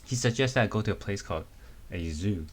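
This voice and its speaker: A man reading the lines of a young woman survivalist in an amateur comic reading, with a plain, low-key delivery. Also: expressive, fairly quick, slight background noise, natural voice.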